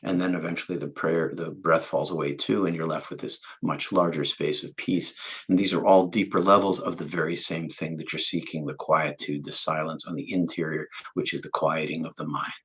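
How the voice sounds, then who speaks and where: Man, faint Boston accent, meditation teacher, soft-spoken and unhurried, very low voice, husky. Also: fairly even intonation. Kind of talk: guided meditation talk.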